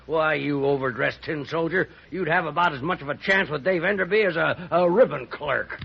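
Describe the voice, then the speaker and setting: Gruff, scornful Old West patriarch, Western American accent, gravelly, muffled vintage radio recording. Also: male voice, on the high side, normal speed. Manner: blustering, scornful. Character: gruff, bitter, stubborn Old West father and boss.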